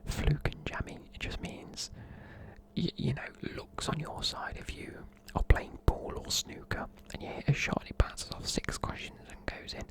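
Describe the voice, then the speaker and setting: Whispering man. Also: moderate pace. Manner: soft unvoiced whisper, chatty and rambling. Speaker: ASMR creator. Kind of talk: whispered ASMR explaining slang.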